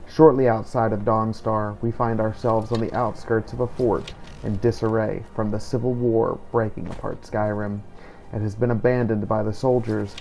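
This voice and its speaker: A man's voice: fairly low pitch, vocal fry, darkish tone. A man hosting a roleplay game series, narrating in a scripted, first-person journal style. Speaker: gameplay series host and narrator.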